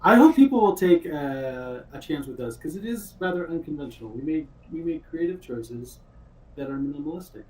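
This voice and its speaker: A man who develops games talks casually in an interview, pitched a little high. He is off-mic in an echoey room with faint noise. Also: lively intonation, moderate pace, darkish tone, distant mic. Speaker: game developer.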